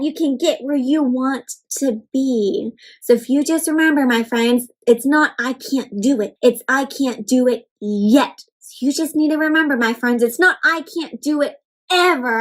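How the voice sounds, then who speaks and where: A young woman hosting an interactive read-aloud for children, talking to them in an animated, encouraging way. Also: high voice, rough recording. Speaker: children's storybook reader and host.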